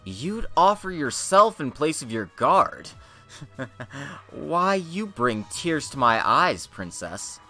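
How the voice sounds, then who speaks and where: Sinister puppeteer villain, male reader, theatrical and taunting, bright edge, slow mock-sweet phrasing, storybook drama. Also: on the high side, big pitch swings, soft background music, voice pushed up, full character voice.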